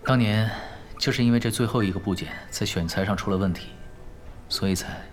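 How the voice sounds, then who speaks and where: An actor playing a laid-back, skilled male pro gamer, calm and focused, in a Chinese audio drama. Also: fairly low pitch, fairly quick, soft background music, natural voice. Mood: explaining.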